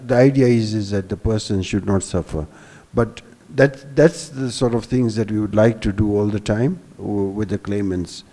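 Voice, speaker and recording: Older man with a low, mellow voice. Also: big-room sound. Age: 60s. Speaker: panelist, business executive. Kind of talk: explanatory panel talk. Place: hall stage before a seated audience. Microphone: handheld microphone held at the chest.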